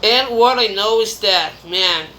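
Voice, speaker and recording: A man's voice: sits high, unhurried, bright, forceful tone, slight background noise. A man from Brazil with a Brazilian Portuguese accent, speaking English as a second language in a rambling, chatty vlog monologue. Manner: rambling, chatty, with restarts and laughs. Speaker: vlogger. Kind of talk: casual monologue to camera.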